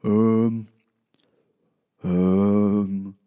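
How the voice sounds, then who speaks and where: A man's voice: on the low side, flat delivery, mellow, clean tone, lo-fi sound. A man voicing a disappointed, stunned old Santa Claus who stumbles over his words in a horror comedy audio drama. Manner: halting, hesitant.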